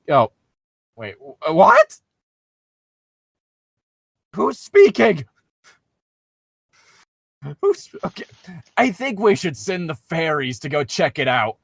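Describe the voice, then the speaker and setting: A man with a slightly high voice and very lively intonation, recorded dry and close. He co-hosts a comedic reading, moving between storytelling and casual, sarcastic nitpicking. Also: slow pace, pauses often, no room sound. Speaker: co-host of a comedic fan fiction reading show. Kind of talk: mocking read-aloud with casual commentary.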